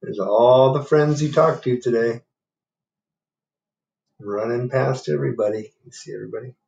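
A man's voice: medium pitch, normal speed, vocal fry, a little mellow, dull audio. A man in his fifties doing a picture-book read-aloud, with casual asides and questions to the children listening. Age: 50s. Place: book-lined room at home.

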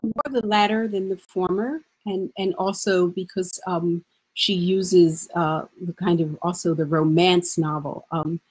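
Casting: middle-aged female scholar, lowish husky voice, explanatory panel talk on a video call. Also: darkish tone, rough recording. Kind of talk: thoughtful explanation in a panel discussion. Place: indoor room at home. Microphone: video call, computer microphone.

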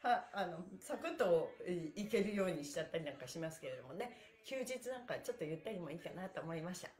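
A woman's voice: on the low side, lively intonation, fast, a little mellow. A middle-aged woman leading a livestreamed stretching class in a cheerful, chirpy, encouraging manner, chatting to her viewers. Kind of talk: upbeat exercise instruction, chatting to livestream viewers. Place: small indoor room with bare walls and wooden floor. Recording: echoey, roomy sound.

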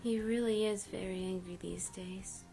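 A woman's voice: medium pitch, moderate pace, vocal fry, soft background music, lightly acted. A woman voicing an earnest, curious, jumpy young woman who acts and narrates in a webcomic dub. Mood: hesitant. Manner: earnest, expressive. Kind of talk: dubbed webcomic acting and narration.

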